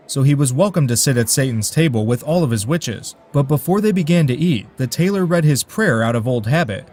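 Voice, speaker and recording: A man's voice: mid-range voice, darkish tone. A man narrating a folk tale in a dry, wry way, with asides to his listeners. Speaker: storyteller.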